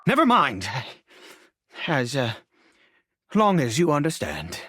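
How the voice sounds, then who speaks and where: A man voicing a weary, centuries-old cursed nobleman and father in a video game, speaking formally and haltingly, with grief. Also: fairly high pitch, wildly swooping pitch, sharp tone, husky, no echo, studio quality, full character voice. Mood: apologetic, weary. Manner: halting, hesitant, sighing, formal wording. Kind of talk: dramatic video game voice acting. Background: background music.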